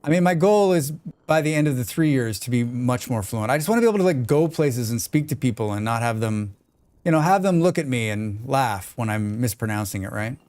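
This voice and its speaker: A man's voice: mid-range voice, lively intonation, fairly quick, darkish tone, no echo. A man with a plain American accent chatting casually on a live game stream, rambling and talking back to his chat. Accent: General American. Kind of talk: casual chat while gaming.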